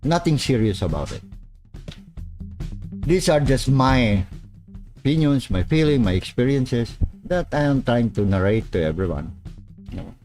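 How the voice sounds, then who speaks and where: A man's voice: mid-range voice, animated, darkish tone, soft background music. Rambling Filipino man on a livestream. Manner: rambling, many filler pauses. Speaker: livestream host. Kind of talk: casual livestream commentary.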